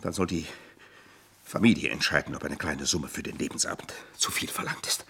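An actor playing an aggrieved ageing manservant in a radio drama, husky and theatrical, with stilted formal phrasing and a self-pitying temper. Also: male voice, a bass voice, a little fast, sharp tone, whispered, heavily acted. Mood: indignant, pompous. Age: older.

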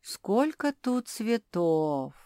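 A woman's voice: medium pitch, very animated, extremely slow, warm tone, dry recording, studio quality, voice pushed up. A woman voicing a fussy, tender mother dog in a storybook, coaxing and emphatic. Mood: delighted.

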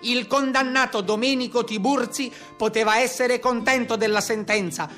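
A man's voice: very high-pitched, fairly even intonation, moderate pace, fairly bright tone, faint music behind, pitched above the performer's own voice. An actor playing a pompous lawman and official of the papal state, formal, officious and declamatory in a radio play.

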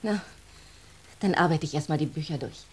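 An actress playing a busy, fretful mother with a husky voice in a children's radio drama. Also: low voice, lively intonation, moderate pace, darkish tone. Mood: exasperated.

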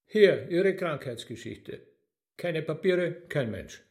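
A man's voice: on the high side, animated, slow pace, a little mellow. An actor playing a sly, theatrical demon henchman in a radio drama, flippant and mockingly courteous.

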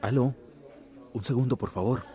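An actor playing a scornful company spy in a radio drama, reporting to his boss in a smug, hushed way. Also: medium pitch, moderate pace, noisy background, natural voice. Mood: conspiratorial, scornful. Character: sneaky male company informant, scornful, obsequious to boss.

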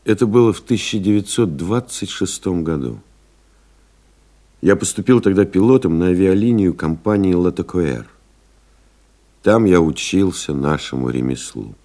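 An actor playing a reflective male pilot in an audio play, delivering a measured, contemplative literary monologue. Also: low voice, mellow. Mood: reminiscing. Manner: measured, contemplative, deliberate phrasing. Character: reflective male French pilot recalling his flights. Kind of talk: dramatic literary monologue, scripted narration.

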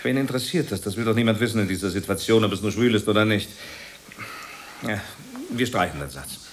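An actor playing a brusque, authoritative theatre director in a German radio play, halting and repeating himself as he gives orders and dismisses. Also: on the low side, slight background noise, natural voice. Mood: hesitant, dismissive.

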